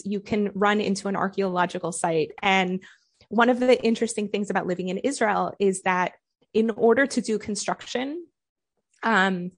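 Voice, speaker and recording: A woman with an American accent who was born in Colombia, a guest author speaking earnestly and warmly, often saying "like.". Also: medium pitch. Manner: earnest, heartfelt, uses "like" often. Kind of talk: casual interview chat.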